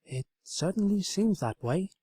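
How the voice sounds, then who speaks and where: A man's voice: high voice, expressive, unhurried, dark tone, breathy edge, dry recording, pitched above the performer's own voice, exaggerated voice. A man voicing a polite, sensible and mildly puzzled princess who is a living piece of furniture in a comic story. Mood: agreeable, unsure.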